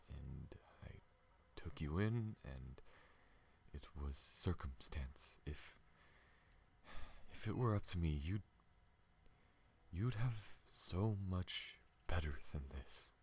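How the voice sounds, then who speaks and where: A man acts out a battle-worn male alien pilot for an ASMR roleplay, with an extremely low, breathy voice. He speaks slowly and haltingly, gentle and earnest, weakened by his wounds and often sinking to a whisper. A faint layer of background noise sits behind him. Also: expressive, full character voice. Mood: earnest, grateful. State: weak and recovering from wounds.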